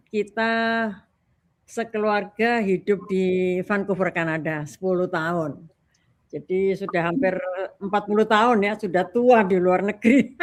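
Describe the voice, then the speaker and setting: Chatty older Indonesian woman. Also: medium pitch. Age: 60s. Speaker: talk guest. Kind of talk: casual chat.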